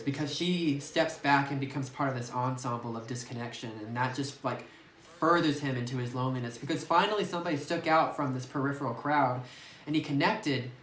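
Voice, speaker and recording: A let's-play commentator talking casually and thinking aloud about a visual novel, filling every pause with "like", recorded on a phone. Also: mid-range voice, fairly bright tone, slight background noise. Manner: rambling, thinking aloud, heavy use of "like". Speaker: gameplay commentator. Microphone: phone microphone. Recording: roomy echoey phone recording.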